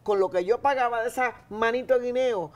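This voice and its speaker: A woman's voice: fairly high pitch, brisk, darkish tone, slight background noise. An emphatic, chatty woman in her fifties with a Dominican accent hosts a studio talk show. She speaks through a lapel mic and keeps turning to the audience. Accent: Dominican Spanish. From Dominican Republic. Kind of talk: casual studio interview. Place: TV studio set, seated in an armchair.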